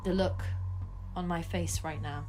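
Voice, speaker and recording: An actress playing a vengeful, coolly menacing young woman in an intimate audio drama, speaking in a deliberate, pointed way. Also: fairly low pitch, fairly even intonation, a little mellow, faint music behind, lightly acted. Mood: taunting.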